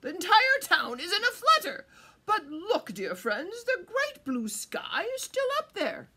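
A woman's voice: very high voice, huge pitch swings, a little slow, bright, forceful tone, voice pushed up, heavily acted. A woman voicing a regal, reassuring male animal king in a storybook, proclaiming grandly.

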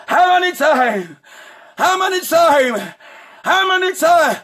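A man preaching a sermon as pastor, shouting with a repetitive, sing-song cadence. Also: very high voice, big pitch swings, takes it slowly. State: married. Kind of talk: impassioned preaching.

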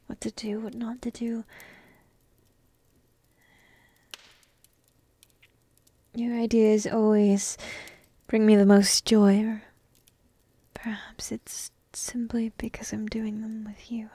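A woman playing a young dragon princess in an ASMR roleplay, coy, teasing and lovestruck, half-whispering in a soft, intimate, breathy way. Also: medium pitch, little pitch movement, slow pace, no echo. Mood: tender, shy.

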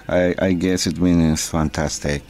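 A man's voice: low voice, a little mellow. A man from Chile with a Chilean Spanish accent, a radio operator talking effusively through a ham radio contact in sometimes hesitant phrases. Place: indoors at a desk, small room.

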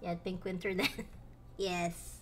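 Giggly woman streamer, slow chatty talk. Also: fairly low pitch, level tone, faint music behind. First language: Tagalog. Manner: giggly, self-mocking, chatty. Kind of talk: casual live-stream chat. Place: home room, indoors. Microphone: headset, webcam framing.

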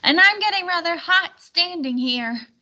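A woman's voice: very high-pitched, lively intonation, unhurried, sharp tone, muffled recording, voice pushed up, heavily acted. A woman voicing an impatient, complaining armored knight in a storybook. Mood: huffy. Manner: huffy, complaining.

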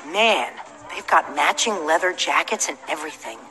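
Worried, wry young female game sidekick, lively pitch, bright tone, some music behind. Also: normal speed, heavily acted, rough recording. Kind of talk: animated video game voice acting.

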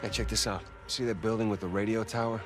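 A man's voice: fairly low pitch, quick pace, over music, natural voice. An actor voicing a cocky, teasing adult man in a video game, sneaking around with his brother. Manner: teasing, animated. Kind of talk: video game cutscene acting.

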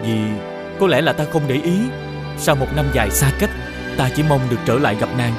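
A man playing a humble, forgiving young prince in an animated fairy tale, speaking formally and earnestly. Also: medium pitch, brisk, natural voice. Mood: humble, tender. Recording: clear music behind the voice.

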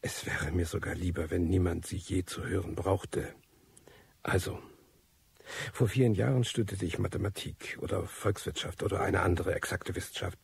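Jaded nameless narrator in a radio play: dark, gravelly, dry sound, slow and digressive. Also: male voice, sits low, heavily acted. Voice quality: husky, gravelly. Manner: slow, rambling, indifferent, digressive. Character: world-weary anonymous man recording his life story. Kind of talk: radio drama monologue.

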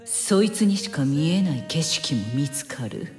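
An actress playing a brash, cynical woman with blunt, mocking, rough speech in an anime game cutscene. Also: deep voice, very animated, unhurried, darkish tone. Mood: stern, cynical. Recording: long reverb, music behind.

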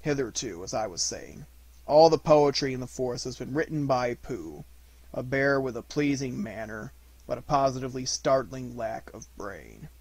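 A gloomy old donkey from a storybook, as a male reader puts him on. He is pompous and halting, muttering asides in a husky voice that rises and falls. The sound is dry and close. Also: mid-range voice, lively intonation, a little mellow, no echo, poor audio. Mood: pompous, slow. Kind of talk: children's storybook reading.

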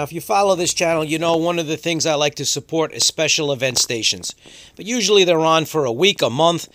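A man's voice: on the high side, bright, forceful tone, no room sound. A man hosting a ham radio channel in a casual vlog narration style. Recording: noisy, radio static behind the voice.

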